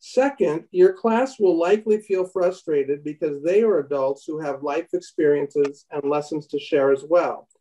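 A man in his fifties leading a bible study on a video call, reading aloud from a book with halting restarts. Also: a little high, warm tone, far from the mic, rough recording. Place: home room indoors. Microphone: laptop webcam on a video call.

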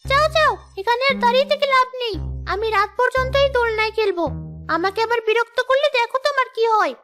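A woman's voice: very high-pitched, fast. A woman voicing a mischievous little ghost schoolgirl in a children's cartoon, with a whiny, childlike manner. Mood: cheeky, scheming. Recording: loud children's cartoon music behind.

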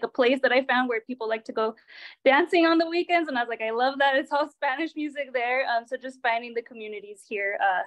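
Chatty young woman from Southern California. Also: fairly high pitch. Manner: chatty, filler-heavy. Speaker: panelist. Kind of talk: video call panel discussion. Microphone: video call.

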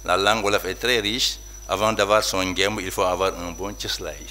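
A man speaking at a conference, weighing his points in a measured, reflective way. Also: low voice, fairly even intonation, pushed and bright, a little hiss or hum. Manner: measured, reflective, hedging remarks. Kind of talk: academic talk.

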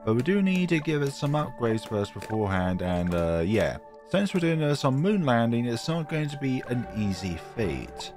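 A man hosting casual gameplay commentary, his voice croaky from a cold, chatty and self-mocking. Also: medium pitch, very animated, normal speed, hardly any pauses, music in the background. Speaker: gaming channel host.

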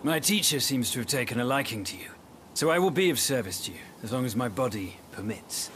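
Ailing young samurai scholar for a game, male actor; measured, grave, middle register. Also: mid-range voice, lively intonation, a little hiss or hum, lightly acted. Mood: resolved. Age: young adult. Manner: formal, measured. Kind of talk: video game cutscene acting. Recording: loud music behind the voice.

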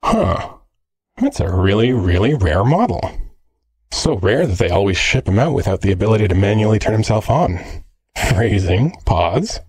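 A man's voice: fairly low pitch, big pitch swings, moderate pace, darkish tone, slightly rough, no room sound. A man playing a teasing, jealous, slangy android boyfriend in an ASMR roleplay. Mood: wry, explaining. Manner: cheeky, slangy, sardonic.

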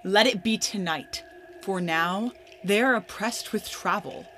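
Woman playing a slow-spoken scheming noble. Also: medium pitch, fairly bright tone, slight background noise, close to an everyday voice. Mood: conspiratorial. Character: scheming, coolly persuasive noble conspirator. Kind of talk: Shakespearean radio play acting.

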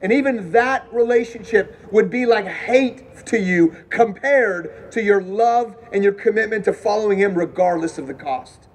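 A man in his thirties with a notably high voice preaches to a college crowd. He is animated and chatty, asking the room questions as he goes. He is on a dark stage in a large hall, wearing a lapel mic. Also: normal speed, few pauses. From Houston, Texas. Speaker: campus preacher. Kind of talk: evangelistic sermon with testimony.

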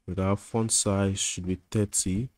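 A man with a low, level voice talks through code as he types it, stopping often to think aloud. His speech has fillers like "um" and quick self-corrections. He records at his computer in a relaxed, unscripted tutorial style. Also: no echo. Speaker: coding tutor. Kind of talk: screen-recorded coding tutorial.

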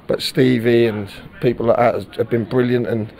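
A middle-aged football manager speaks frankly after a match. His voice sits at an ordinary middle pitch, and he repeats words and restarts his sentences as he works through his thoughts. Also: steady tone, normal speed, gravelly, warm tone. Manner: candid, repeats words while searching for them. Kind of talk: post-match interview.

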